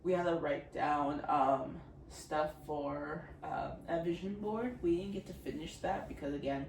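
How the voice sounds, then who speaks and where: A woman in her twenties rambles through a casual vlog chat, sprinkling in "like" and quick giggles. Her voice sits low for a woman. The mic is set back from her, so the room rings and a steady background noise hums underneath. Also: echoey room, distant mic. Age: 20s. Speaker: vlogger.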